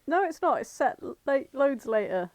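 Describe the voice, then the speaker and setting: A woman co-hosting a podcast in casual banter, blunt, sweary and quick to argue. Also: sits high, big pitch swings, no echo. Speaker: podcast co-host.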